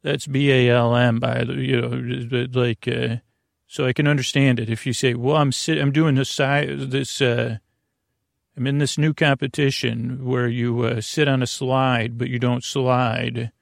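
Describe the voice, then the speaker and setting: Male sleep-podcast host: creaky, gravelly delivery, dry close sound, lulling and goofy, wandering off on halting tangents. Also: medium pitch, little pitch movement, dry recording. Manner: meandering, halting, full of tangents. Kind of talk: rambling bedtime sleep-podcast monologue.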